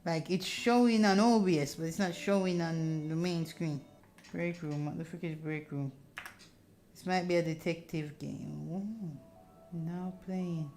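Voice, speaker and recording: A woman's voice: on the low side, steady tone, a little slow, a little mellow, faint music behind. A woman streaming a game, chatting casually and reading on-screen text aloud. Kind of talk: casual Let's Play chat and read-aloud narration.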